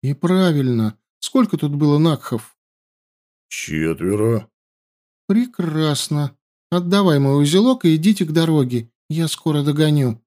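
Audiobook: male reader doing a sly young woman spy, high and whiny, pitch jumps all over. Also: big pitch swings, unhurried, dark tone. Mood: brisk. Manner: whiny pleading. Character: young woman herbalist spy, cunning, wailing.

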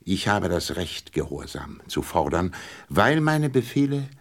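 A deep-voiced old king in a radio play. He is pompous yet kindly, swooping up and down as he hands out royal commands, sometimes faltering into stammers. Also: sing-song, measured pace, full character voice. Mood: pompous, commanding. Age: older.

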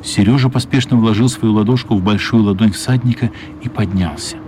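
A man narrating a children's radio play as scripted storytelling, measured and expressive, with pauses. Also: fairly low pitch, noisy background. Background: music.

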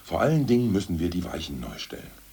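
A man playing a gruff, dogmatic ousted party ideologue in a radio play, with a gravelly, husky voice that bursts into coarse rants. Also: low-pitched, huge pitch swings, fast talker, mellow, exaggerated voice. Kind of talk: 1980s radio play acting.

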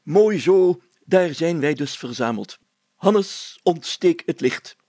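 A man voicing a pompous, excitable village mayor in an audiobook story, declaiming in grand, solemn phrases. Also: a little high, slow, no echo, voice pushed up. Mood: commanding.

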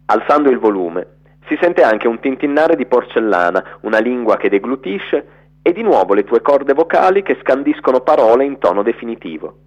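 A man giving a theatrical, storytelling reading of a literary letter for radio, in an expressive narrator's delivery. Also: medium pitch, normal speed, lo-fi sound.

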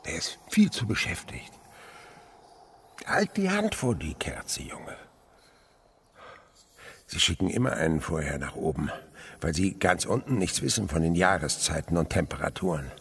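Older male naturalist for radio drama: lowish, crackly voice, eager, tender, hushed storyteller's wonder. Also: sing-song, unhurried, pauses often, breathy edge, heavily acted. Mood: hushed, absorbed. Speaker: actor in a radio play. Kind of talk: German radio play acting.

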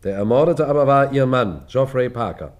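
A man playing a composed detective who narrates and questions in a German radio crime play, measured and pointed. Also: medium pitch, lively intonation, close to an everyday voice. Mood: confronting. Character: composed, probing male detective narrating his case.

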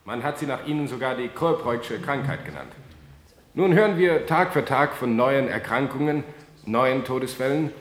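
Persistent, formal male journalist played by an actor, 1970s radio play, lively intonation, echoey hall, slight background noise. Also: medium pitch, normal speed, natural voice. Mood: insistent. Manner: formal, insistent. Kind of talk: 1970s German radio drama acting.

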